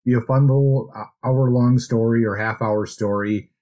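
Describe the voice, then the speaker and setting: A man co-hosting a podcast, talking through a casual book discussion in a conversational, measured way. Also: mid-range voice, fairly even intonation, mellow, highs cut off. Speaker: podcast co-host.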